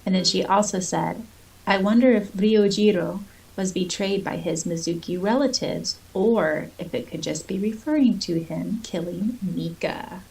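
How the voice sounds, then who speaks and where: A woman co-hosting a podcast, chatting casually and reading notes aloud in a giggly, animated way. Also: medium pitch, unhurried, creaky, breathy edge, low-quality recording. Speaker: podcast co-host.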